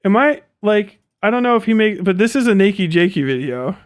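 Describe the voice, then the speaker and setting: Guy streamer with a high-ish voice, casual sweary rambling reaction talk. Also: lively intonation, fairly quick, smooth. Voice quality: frequent swearing, rambling way of talking. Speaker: livestream host reacting to videos. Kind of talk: casual unscripted commentary.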